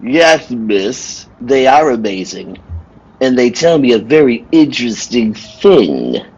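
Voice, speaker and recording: A man playing a sad, quietly intense circus clown in an old-time radio play, husky and solemn in a deliberate way. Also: medium pitch, very animated, lo-fi sound, heavily acted. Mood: grave.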